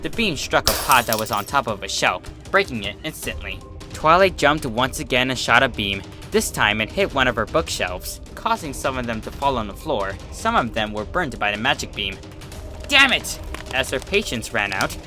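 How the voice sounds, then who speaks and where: A man narrates fan fiction with a lot of movement in his pitch. His voice is bright and forceful, the delivery dramatic and scripted, with soft music underneath. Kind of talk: expressive scripted story narration.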